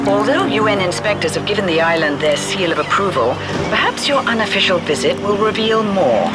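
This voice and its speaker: A woman playing a stern intelligence chief in a video game, giving briefings in a formal, commanding manner. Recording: loud music and engine rumble behind. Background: music, vehicle noise.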